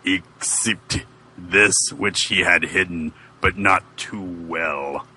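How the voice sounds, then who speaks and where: A man voicing a solemn, honest undead male wrestler in a dramatic fan fiction reading, deep-voiced with a grave, stilted delivery. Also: takes it slowly, sharp tone, exaggerated voice, low-quality recording. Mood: earnest. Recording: noticeable background noise.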